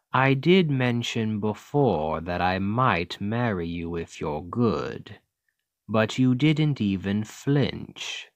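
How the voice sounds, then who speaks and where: A man voicing a smug, domineering demon king in an ASMR roleplay, speaking in a deliberate, condescending and coaxing way. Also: on the low side, dry recording, clean studio sound, heavily acted. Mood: teasing. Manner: slow, deliberate, condescending, seductive menace. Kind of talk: scripted ASMR roleplay monologue.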